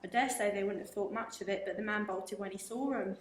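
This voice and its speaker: Police inspector, calm and official, played by a young actress; roomy school recording. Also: female voice, medium pitch, close to an everyday voice. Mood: polite official. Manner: polite, measured and official. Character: calm, dry-witted police inspector woman. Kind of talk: amateur radio play acting. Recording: roomy room echo, faint hiss.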